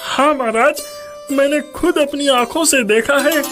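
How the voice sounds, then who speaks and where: A man's voice: very high-pitched, measured pace, a bit bright, faint music behind. A man voicing a scheming, snobbish royal minister in a cartoon story, deferential and theatrical. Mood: excited. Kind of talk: Hindi cartoon story character dubbing.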